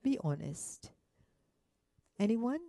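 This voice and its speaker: Female workshop speaker, unhurried and soft-toned, gently coaxing an audience. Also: medium pitch, sing-song, creaky, dry recording, clean studio sound. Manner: gentle coaxing, with rhetorical questions and endearments. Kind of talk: interactive motivational talk to an audience.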